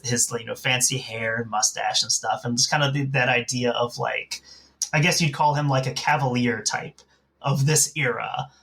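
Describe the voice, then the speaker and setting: Podcast guy, bright kinda sharp voice, medium pitch, rambles casually as he talks. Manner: hesitant, discursive phrasing with frequent "like". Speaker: podcast co-host. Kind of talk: casual discussion.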